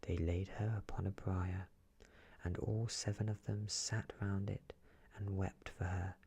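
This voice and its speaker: Very husky, hushed male ASMR storyteller. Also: low voice, level tone, measured pace. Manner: gentle, hushed, often slipping into whisper. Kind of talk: soft-spoken ASMR fairy tale reading.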